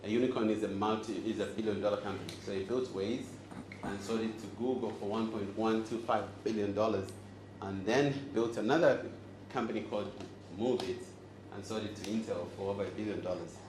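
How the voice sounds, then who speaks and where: Man from Zambia, forties, deeper voice, playful speaker quizzing audience, roomy echo, background noise. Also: fairly even intonation, fairly quick, hardly any pauses. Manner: joking and engaging, quizzes the crowd. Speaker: host and speaker. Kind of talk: live talk to an audience. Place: event room with audience. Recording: echoey room, audible noise.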